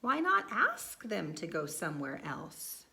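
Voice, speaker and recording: A woman's voice: on the low side, huge pitch swings, a little slow, creaky, sharp tone, pitched below the performer's own voice, full character voice. A woman voicing a cheerful, helpful little pig in a storybook, giving plain, matter-of-fact answers.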